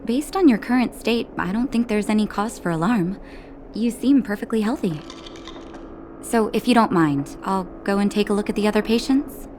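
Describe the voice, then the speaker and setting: An actress voicing a calm, caring woman doctor in a video game, speaking in a gentle, reassuring way. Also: mid-range voice, moderate pace, a little hiss or hum, lightly acted. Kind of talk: scripted game dialogue. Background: music.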